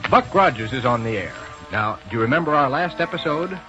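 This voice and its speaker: A man's voice: mid-range voice, a little fast, lightly acted. A man performing as an eager, theatrical announcer of a 1930s children's adventure serial on radio. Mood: excited, dramatic. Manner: theatrical, excited storytelling. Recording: dull 1930s radio recording, music.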